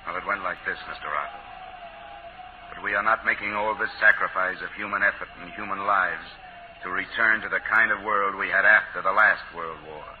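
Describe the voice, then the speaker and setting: A quietly defiant, world-weary ex-soldier played by a man in an old radio play. His voice sits low and he talks with dry, measured irony. The broadcast is thin and lo-fi, with faint hiss. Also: fairly even intonation, natural voice. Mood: weary, resolute. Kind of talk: 1940s American radio drama acting.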